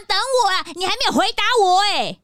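A woman voicing a curious, excitable young male sidekick in a kids' audio drama, eager and chatty. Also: extremely high pitch, fairly quick, no echo, heavily acted.

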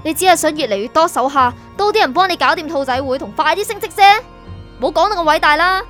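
A woman plays a wronged, resolute young heroine in a radio drama, speaking Hong Kong Cantonese. Her voice is high and firm, with an accusing edge, and music plays softly behind it. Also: lively intonation, fast. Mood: bitter, accusing. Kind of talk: Cantonese audio drama acting.

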